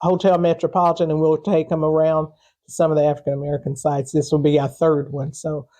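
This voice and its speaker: A woman speaking off the cuff as an interview guest, pausing mid-phrase and repeating words as she goes. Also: deep voice, level tone, dark tone. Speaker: interview guest, historical preservation group organizer. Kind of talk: unscripted interview talk.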